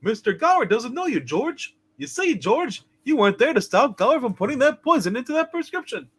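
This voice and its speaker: A man's voice: extremely high pitch, big pitch swings, a little fast, fairly bright tone, breathy edge, heavily acted. A man voicing a chirpy, scolding guardian angel in a hammed-up, giggly amateur play reading. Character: chirpy, scolding male guardian angel, high-voiced and gleeful.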